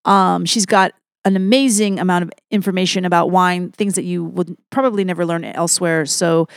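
A woman's voice: lowish voice, steady tone, fairly quick, no echo, studio quality. A woman co-hosting a comedy club show, chatting casually in a bubbly, upbeat way with frequent laughs. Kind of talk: casual co-host chat.

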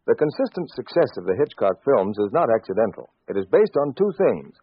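A man's voice: medium pitch, very animated, fairly quick, breathy edge, dry recording. A man giving a formal, salesmanlike promotional announcement in 1940s radio style. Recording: tinny, dry 1940s radio recording.

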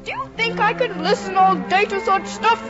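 A woman's voice: very high-pitched, normal speed. A woman voicing a stern elderly father in a recited verse, in a dull old film recording with music behind. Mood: gruff. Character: gruff elderly father answering his son.

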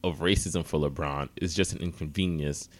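A man's voice: rumbling low, steady tone, moderate pace, dry recording. A man hosting a casual sports talk podcast, speaking in a conversational, opinionated way. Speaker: podcast host.

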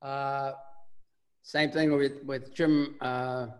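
An older man with a Bronx accent, a guitarist talking about his music in a casual interview, relaxed and rambling with many fillers. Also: mid-range voice, extremely slow. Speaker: guitarist guest.

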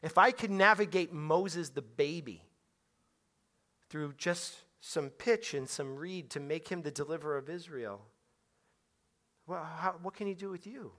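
A man preaching a sermon in a conversational way, swinging between hushed and loud passages and repeating his phrases. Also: fairly high pitch, a little slow.

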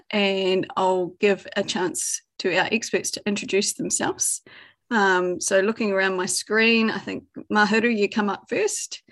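A woman from New Zealand hosts an educational field trip over a video call. Her voice is husky with some creak, and she keeps things friendly and organised. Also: medium pitch. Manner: friendly, organised, opens with a chanted karakia. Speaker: host and field trip guide. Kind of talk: hosting an educational web conference.

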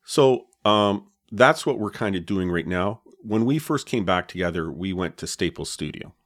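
Low-voiced man explaining gear. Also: moderate pace, no echo, studio quality. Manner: relaxed, explanatory, many fillers. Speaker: podcast co-host. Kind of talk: casual chat about podcast gear. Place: home podcast setup. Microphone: dynamic podcast mic with pop filter and foam cover.

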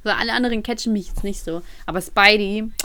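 A woman's voice: medium pitch, fast, sharp tone. A young woman from Ukraine chatting casually as a podcast co-host, with playful, slangy banter. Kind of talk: casual chat.

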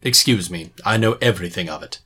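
A man playing a shrewd, courteous but commanding gentleman detective in a dramatised Victorian story, speaking precisely and formally with quiet mockery. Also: low voice, fast talker, breathy edge, exaggerated voice. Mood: courteous, confident.

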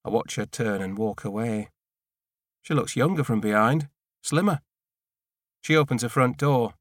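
A man reading a first-person novel as an audiobook narrator, measured and deadpan. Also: mid-range voice, dry recording, clean studio sound.

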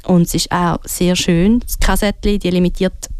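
A woman's voice: fairly low pitch, little pitch movement, moderate pace, darkish tone, clear, resonant tone. A woman with a Swiss German accent presenting music on the radio in a casual, enthusiastic, informal way. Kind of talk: casual chat introducing music.

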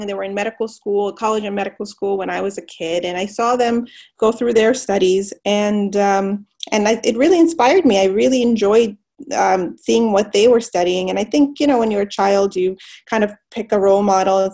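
A woman physician who grew up in Pakistan and the United States, answering interview questions with many pauses and restarts. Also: mid-range voice. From Pakistan, raised in the United States. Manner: hesitant, many fillers and restarts, warms up when enthused. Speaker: physician guest.

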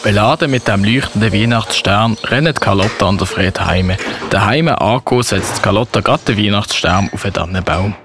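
A man's voice: on the low side, normal speed, noisy background, natural voice. A man with a Swiss German accent narrating a children's Christmas radio play as its storyteller. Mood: storytelling.

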